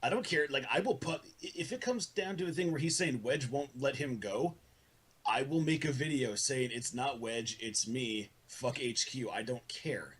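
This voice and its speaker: Man, husky unclear voice, middle pitch, blunt, argumentative, casual swearing. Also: normal speed, fairly bright tone. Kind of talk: heated group voice call argument.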